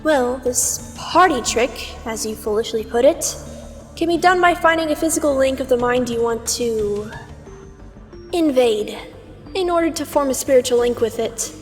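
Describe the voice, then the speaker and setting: A woman's voice: high-pitched, normal speed, lightly acted. A woman playing a condescending, teasing mentor, an immortal aspect of Twilight in a comic dub, lecturing in a theatrical, sardonic way. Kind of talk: scripted fan comic dub acting. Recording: long reverb over music.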